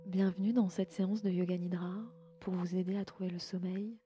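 Soft, mellow woman guiding sleep meditation. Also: on the low side, level tone. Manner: soothing, gentle, unhurried, often breathy near-whisper. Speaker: yoga nidra guide and podcast host. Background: music.

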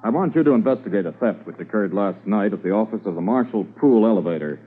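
A man playing a measured, courteous, authoritative senior police inspector in a 1950s radio drama. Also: lowish voice, lively intonation, a little hiss or hum, natural voice. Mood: grave, instructing. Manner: deliberate, commanding but courteous. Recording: tinny 1950s radio recording.

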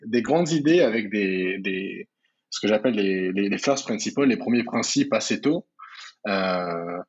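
A man's voice: on the low side, husky, lo-fi sound. Hesitant man from the Paris region. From France, Paris region. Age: 30s. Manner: hesitant, many fillers and restarts. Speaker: guest entrepreneur. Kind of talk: casual interview talk.

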